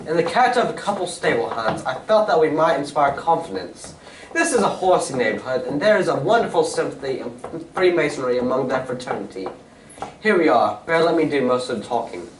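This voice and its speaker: An amateur actor, a man, playing a brisk, courteous, coolly amused detective in an old radio mystery style, with clipped genteel phrasing. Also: a little high, big pitch swings. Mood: courteous, assured. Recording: roomy, distant stage recording with faint noise.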